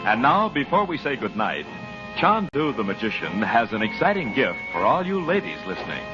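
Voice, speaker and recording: A man's voice: medium pitch, big pitch swings, normal speed, over loud music. A man announcing a sponsor's offer in scripted 1940s radio style. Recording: muffled old radio recording.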